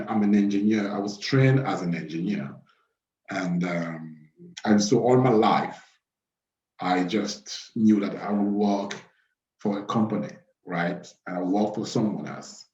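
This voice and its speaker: A man in his thirties from Cameroon hosting an informal live webinar, speaking haltingly and repeating phrases. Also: lowish voice, darkish tone. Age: 30s. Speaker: webinar host. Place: small plain room indoors. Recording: roomy, dull webcam audio.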